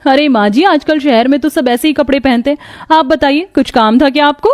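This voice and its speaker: A woman's voice: sits high, fairly quick, lightly acted. A woman voicing a selfish young city daughter-in-law in a cartoon story, haughty and dismissive. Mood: breezy.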